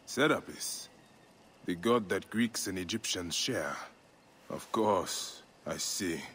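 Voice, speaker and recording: A man's voice: low-pitched, expressive, slow, a bit bright, a little hiss or hum, exaggerated voice. A man playing a grave, resolute, vengeful ancient Egyptian warrior in a dramatic video game. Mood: grave, determined. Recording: music behind the voice.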